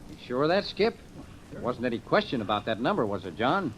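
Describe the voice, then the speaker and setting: Dogged sleuth, suspicious and commanding, middle register, lightly noisy 1940s radio play. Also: male voice, mid-range voice, very animated, a bit bright. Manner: probing, brisk, authoritative. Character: suspicious, insistent amateur sleuth on vacation. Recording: noisy old radio drama recording.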